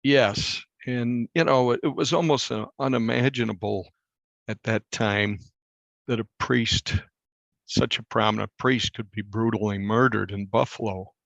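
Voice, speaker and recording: A man working as a reporter, telling a story conversationally in an interview in a measured, explanatory way. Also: mid-range voice, gravelly, fairly bright tone, dry recording. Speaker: newspaper reporter being interviewed.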